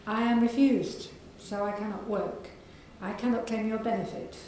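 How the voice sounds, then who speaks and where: A woman with a soft, croaky voice and a mellow, dark tone reads from her own novel, measured and unhurried. She speaks in a very echoey library room with faint background noise. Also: mid-range voice, fairly even intonation. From England. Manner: measured reading with clearing of the throat. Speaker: author reading her novel. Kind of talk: reading aloud from a novel at a library event.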